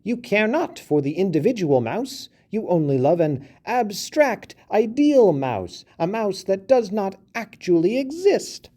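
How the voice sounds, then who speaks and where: A man voicing an indignant little male mouse who argues in a raised, shrill voice. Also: big pitch swings, measured pace, hardly any pauses, warm tone. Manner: shrill, pleading, argumentative.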